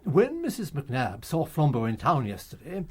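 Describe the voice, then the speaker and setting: An actor in a radio drama playing an older retired celebrated detective, pompous and courtly, with precise English diction. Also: male voice, a little high, huge pitch swings, normal speed, husky, full character voice. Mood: grandly inquisitive.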